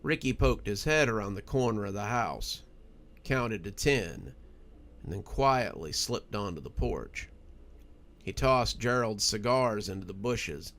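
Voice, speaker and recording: A man narrating a horror story in a dramatic, expressive and deliberate storytelling style. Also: on the low side, gravelly.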